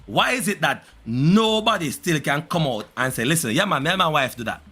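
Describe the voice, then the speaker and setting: Jamaican guy hosting a call-in panel, bright voice, swoops up and down, keeps pushing his question. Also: on the high side, brisk, breathy edge. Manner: animated, repeats himself, presses his question. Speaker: host of a panel discussion. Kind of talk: heated panel debate.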